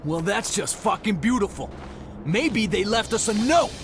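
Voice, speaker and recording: A man's voice: high voice, lively intonation, normal speed, music in the background. A man playing an aggressive, foul-mouthed, hot-headed soldier in a video game. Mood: angry sarcasm. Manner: aggressive, sweary. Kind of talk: dramatic video game acting.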